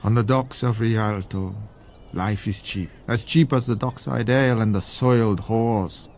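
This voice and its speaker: A man's voice: lowish voice, measured pace, a little mellow, a little hiss or hum. A man playing a suave, worldly foreign merchant with shady dealings in a fantasy video game, courtly and philosophical in manner. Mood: wistful, worldly. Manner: courtly, smooth-talking, philosophical.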